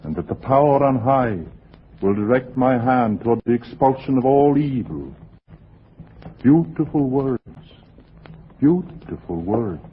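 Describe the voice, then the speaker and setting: An actor playing a sinister, fanatically pious lodger in a 1940s radio drama, preaching in a formal, sanctimonious way. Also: male voice, medium pitch, lively intonation, a little slow, pauses often, natural voice. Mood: quiet, brooding. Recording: muffled, noisy 1940s radio recording.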